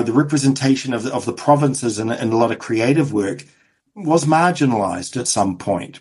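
Kiwi guy guest, husky voice, keeps restarting sentences, lots of you know. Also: medium pitch, a little mellow. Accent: New Zealand. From New Zealand. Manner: halting, frequent restarts and "you know" fillers. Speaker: television producer, guest. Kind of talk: casual interview conversation.

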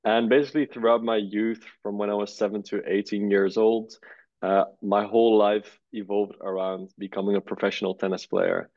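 A man's voice: on the low side, flat delivery, moderate pace, no echo. Easygoing young man, dull audio. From Netherlands. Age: 20s. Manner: easygoing, many "uh" fillers. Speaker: guest, startup co-founder. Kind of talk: relaxed conversational interview.

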